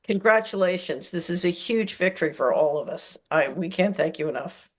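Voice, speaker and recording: A woman's voice: fairly low pitch, normal speed. Woman talk show host. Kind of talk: conversational show wrap-up.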